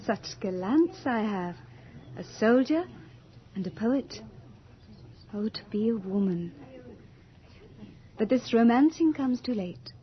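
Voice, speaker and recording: A woman's voice: on the high side, expressive, slow, pauses often. Death as a genteel young lady. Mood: wistful. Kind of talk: radio horror drama acting. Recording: dull 1980s radio recording, faint music.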